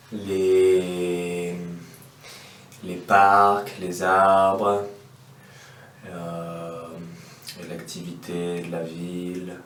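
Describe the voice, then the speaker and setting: A French man in his twenties, a musician, answering interview questions slowly and hesitantly. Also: low voice, fairly bright tone. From France. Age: 20s. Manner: slow, hesitant. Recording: roomy, distant, faint noise.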